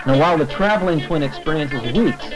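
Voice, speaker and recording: A man's voice: on the high side, a little fast, over loud music, poor audio. A man giving scripted educational film narration, explaining things step by step and addressing the viewer directly.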